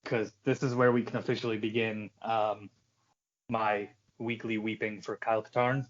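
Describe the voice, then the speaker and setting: A man's voice: lowish voice, level tone, normal speed, highs cut off. A man in casual podcast chat, co-hosting with sweary, mock-aggrieved rants. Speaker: podcast co-host.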